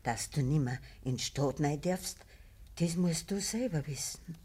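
An actress playing an ailing old peasant mother in a radio play, with a breathy, frail voice, a Bavarian accent and a halting, pleading delivery. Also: deep voice, mellow. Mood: weak, worried. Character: gravely ill old peasant mother, pleading, gentle, pious. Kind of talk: naturalistic dialect radio drama acting.

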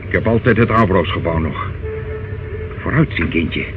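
An actor in a Dutch radio play, playing a self-satisfied, menacing older uncle who wheedles and talks down in a hesitant, smooth way. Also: male voice, low-pitched, normal speed, over music, close to an everyday voice. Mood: wheedling, evasive. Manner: wheedling, hesitant, condescending, calls listener child. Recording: dull, noisy old radio recording.

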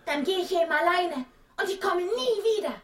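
A woman's voice: very high voice, normal speed, echoey room. A woman playing a strong-willed lioness wife and mother in a children's radio play. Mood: scolding, defiant. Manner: sharp-tongued, commanding.

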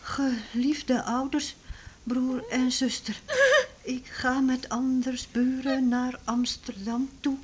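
A woman's voice: high-pitched, flat delivery, takes it slowly, darkish tone. An actress playing an anxious, tender middle-aged mother, fretful and pleading, in a radio drama. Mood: tearful, tender. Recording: hissy old radio play recording.

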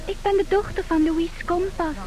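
High-voiced headstrong young heiress. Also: female voice, fairly even intonation, moderate pace, crowd noise. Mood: delighted, playful. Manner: insistent, theatrical, imperious. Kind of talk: old Dutch radio comedy acting. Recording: old radio play, music behind.

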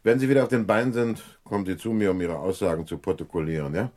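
A man acts a police inspector in a vintage radio crime drama. His voice is low, and his delivery is measured and official. He is courteous on the surface, stern and methodical underneath. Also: fairly even intonation, darkish tone, natural voice. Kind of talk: vintage German radio crime play acting.

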